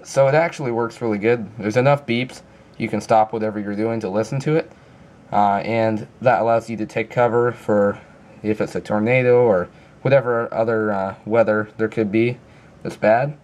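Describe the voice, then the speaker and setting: A man's voice: lowish voice, fairly even intonation, moderate pace, a little mellow. A man giving a casual, informal review walkthrough in a plain-spoken explaining manner. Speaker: app reviewer.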